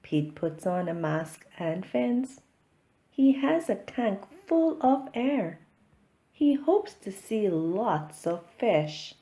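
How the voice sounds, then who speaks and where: A woman reading a children's picture book aloud, with expressive, deliberate storytelling for young listeners. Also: medium pitch.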